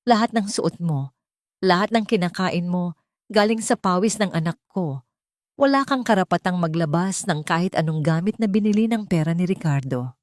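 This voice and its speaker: A woman's voice: on the low side, lively intonation, normal speed, dry recording, clean studio sound, natural voice. A woman voicing a haughty, scornful older mother-in-law in an audio story. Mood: contemptuous. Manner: scornful, imperious.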